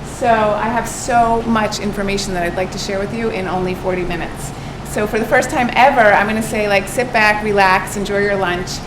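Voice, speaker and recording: A quiet-voiced woman delivers a lunch-time nutrition lecture, soft-spoken and often pausing on fillers. Her voice bounces around a roomy hall, and a steady air-conditioning hum sits clearly behind her. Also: mid-range voice. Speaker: nutrition consultant.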